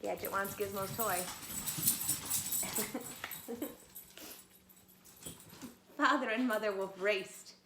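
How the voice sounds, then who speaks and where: Teacher reading a storybook aloud. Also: female voice, medium pitch, very slow, pauses often, echoey room, noisy background. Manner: gentle, asks children questions. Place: home, pets nearby. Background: dogs playing.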